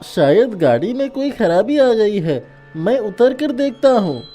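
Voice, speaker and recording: Man playing a slow, swooping, sly taxi driver. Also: high voice, very animated, warm tone, faint music behind. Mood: sly, hesitant. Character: lecherous, smooth-talking male taxi driver. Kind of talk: dramatised horror story dialogue.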